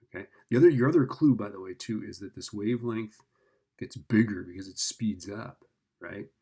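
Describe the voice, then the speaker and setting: A man's voice: fairly low pitch, normal speed, dark tone, husky. A man teaching physics informally, working through a problem step by step and thinking aloud in a casual, check-in manner. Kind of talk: informal worked-problem explanation.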